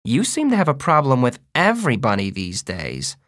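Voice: mid-range voice, wildly swooping pitch, normal speed, fairly bright tone, no echo, studio quality. A narrator voicing a firm, caring mother who admonishes her sons in an audiobook. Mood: knowing. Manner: earnest, admonishing. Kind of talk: audiobook character voice.

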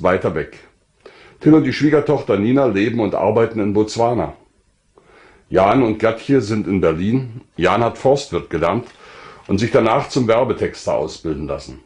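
An older man giving a measured, composed personal account of his life. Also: on the low side, a little mellow.

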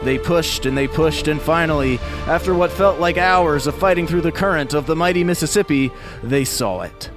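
A man's voice: a little high, normal speed. An American man telling a scripted children's story in a conversational, explanatory way. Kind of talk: scripted storytelling narration for kids. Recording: music bed behind narration. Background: music.

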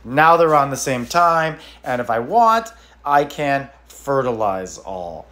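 A man's voice: mid-range voice, big pitch swings, slow pace, bright, forceful tone. A man hosting a mobile game channel, chatting casually and thinking aloud as he taps through menus. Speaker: gaming channel host.

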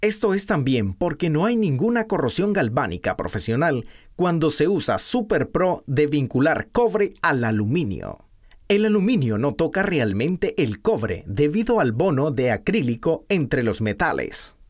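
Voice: medium pitch, very animated, unhurried, darkish tone. A narrator reading scripted product instructions in a stiff, read-out manner.

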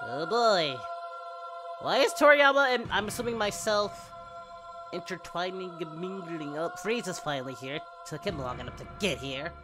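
A man voicing a goofy, excitable parody of a cartoon hero in casual reaction commentary, swinging from muttering to exclamations. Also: high voice, normal speed, music in the background. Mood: amused, hyped. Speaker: reaction video host.

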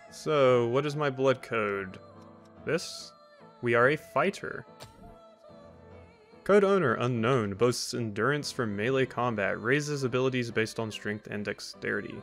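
A man's voice: medium pitch, a little slow, long pauses, a bit bright. A man giving casual, rambling gameplay commentary as a let's play host, with wry asides. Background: faint game music.

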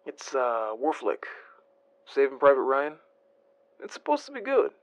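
A man's voice: mid-range voice, huge pitch swings, measured pace, fairly bright tone, dry recording, rough recording. A man playing a polite, friendly former agent on night shift in an audio drama, respectful and a little hesitant. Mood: friendly, concerned. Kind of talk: naturalistic audio drama dialogue.

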